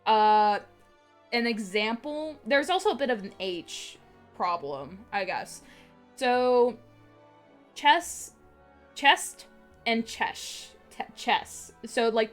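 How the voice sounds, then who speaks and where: A woman's voice: fairly high pitch, animated, takes it slowly, faint music behind. A young woman streamer with a slight lisp on her s and t sounds, rambling casually with many fillers and restarts. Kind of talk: casual live-stream chat.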